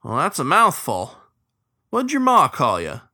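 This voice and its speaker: A man voicing a cheerful, loud male beaver deckhand with a grinning, bellowing manner. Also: a little high, huge pitch swings, measured pace, no echo, studio quality, pitched above the performer's own voice, full character voice.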